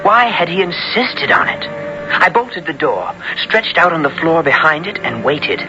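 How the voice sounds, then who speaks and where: A man playing a bold young English gentleman hero in a 1970s radio drama, earnest and theatrical, with archaic formal speech. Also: fairly high pitch, sing-song, measured pace, over music. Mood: tense narrating. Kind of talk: 1970s radio drama acting and first-person narration. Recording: dull 1970s radio broadcast.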